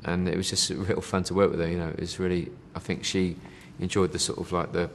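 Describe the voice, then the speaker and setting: Low-voiced rambling man. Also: little pitch movement, a little fast, big-room sound, slight background noise. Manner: rambling, many fillers, says "you know" and "mate". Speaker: band member interviewed. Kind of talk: casual interview chat.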